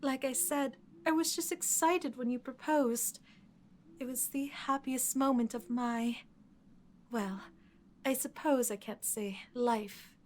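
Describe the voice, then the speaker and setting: A woman voicing a lovestruck, clingy undead corpse bride in an ASMR audio roleplay, soft, earnest and pleading. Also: high voice.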